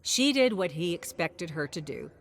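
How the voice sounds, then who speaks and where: A woman reading scripted story narration in an expressive, dramatic storytelling manner. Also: lowish voice, normal speed.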